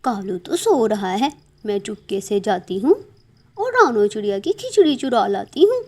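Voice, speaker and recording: A woman's voice: mid-range voice, big pitch swings, moderate pace, a little mellow. A woman voicing a sly, greedy, lazy crow wife in a cartoon story. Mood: sneaky.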